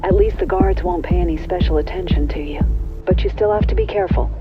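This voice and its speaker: A muffled radio voice under loud electronic music: a businesslike game accomplice. She gives brisk instructions at a medium pitch. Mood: focused. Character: businesslike female vampire accomplice guiding by radio. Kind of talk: video game mission briefing.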